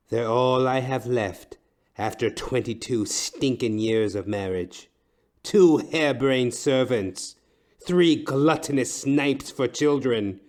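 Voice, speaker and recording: Audio play: scornful, weary wealthy wife male performer, slow and withering, mid-range pitch. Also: a little mellow, natural voice. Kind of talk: noir audio comedy monologue.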